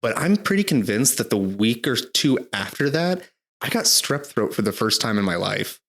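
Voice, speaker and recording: A man in his thirties co-hosting a casual podcast, talking in a rambling way with lots of fillers and a slightly hoarse throat. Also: medium pitch, sing-song, normal speed, fairly bright tone. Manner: rambling, filler-heavy, restarts often. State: slightly hoarse, recovering from illness. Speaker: podcast co-host. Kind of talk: casual chat.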